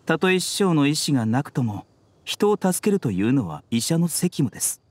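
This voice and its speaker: An actor playing a composed, courteous young male physician in an anime game, polite, measured and gently enigmatic. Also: a little high, very animated. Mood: earnest, composed. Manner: polite, measured, softly teasing.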